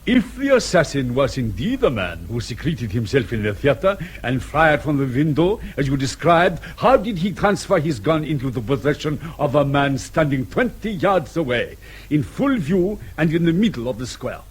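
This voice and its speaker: A gently sardonic police colonel in a radio drama. His husky, fairly high voice moves freely up and down as he sets out his case with measured, hesitant courtesy. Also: big pitch swings. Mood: reasoning, insistent.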